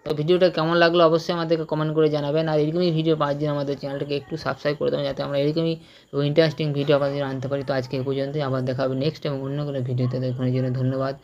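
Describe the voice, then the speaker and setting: Male tutorial presenter, Indian Bengali accent, extremely quick delivery, unscripted, repetitive and stumbling. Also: a little high, little pitch movement, a little mellow, highs cut off, a little hiss or hum. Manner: repetitive, stumbling, with frequent tag questions. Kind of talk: informal unscripted screen-walkthrough tutorial.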